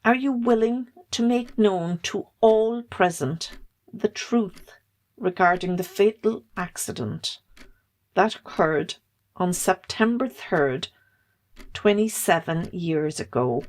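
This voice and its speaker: A woman acting a stern, formal lawyer in an amateur drama, speaking with deliberate authority. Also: medium pitch, expressive, darkish tone. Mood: stern, pressing.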